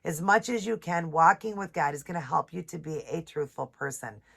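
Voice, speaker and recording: A woman with a stuffed-up voice from a cold, chatting casually and reading devotional passages slowly. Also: deep voice, animated, gravelly, fairly bright tone. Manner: chatty, reads scripture slowly, apologizes often. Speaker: nail and devotional vlogger. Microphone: ring light setup, camera close.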